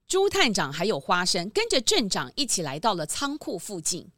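A woman's voice: mid-range voice, wildly swooping pitch, normal speed, fairly bright tone, no echo, studio quality. A woman narrator telling a children's detective story in a dramatic, scripted style.

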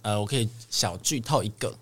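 A man's voice: fairly low pitch, fairly quick. A man, a young pop singer answering casually as a podcast guest. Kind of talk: casual interview chat.

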